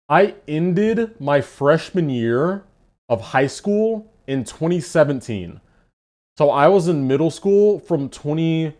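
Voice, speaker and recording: A man in his twenties chatting casually with his live-stream chat, laughing and swearing in quick asides. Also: a little high, darkish tone. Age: 20s.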